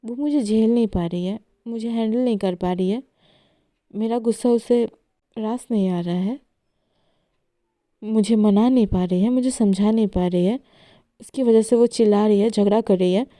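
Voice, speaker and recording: A woman voicing an angry young woman breaking off a relationship, loud and heated. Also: mid-range voice, normal speed, long pauses, no room sound, studio quality, lightly acted.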